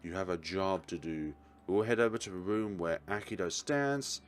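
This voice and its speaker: A man's voice: sits low, normal speed. A man reading a visual novel aloud as a let's player, now and then stumbling over words and correcting himself.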